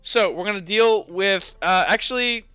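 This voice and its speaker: A man with a high, bright voice narrates a tabletop game as its game master. His delivery is casual and crisp, recorded dry with faint music behind him. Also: moderate pace, dry recording, highs cut off.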